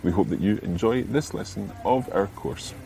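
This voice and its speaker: A man hosting a language lesson with scripted narration, explaining points carefully and enunciating clearly for learners. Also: fairly low pitch, measured pace, mellow, rough recording.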